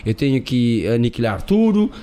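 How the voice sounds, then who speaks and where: A man's voice: mid-range voice, big pitch swings, normal speed, mellow. A man from Cape Verde, a guest on an interview, who talks emphatically and repeats words while searching for them. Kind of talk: interview conversation.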